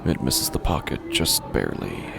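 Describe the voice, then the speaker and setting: A man narrating a first-person horror story in a halting, uneasy way, often breaking off mid-sentence, over a music score. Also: rumbling low, sing-song, creaky. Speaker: podcast narrator.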